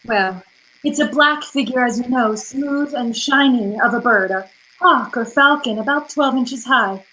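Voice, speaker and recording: A woman acts an alluring liar of a femme fatale in an old-time radio play. Her voice is high. She pleads with weary, melodramatic feeling. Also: a little slow, bright, forceful tone, poor audio. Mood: coy, evasive.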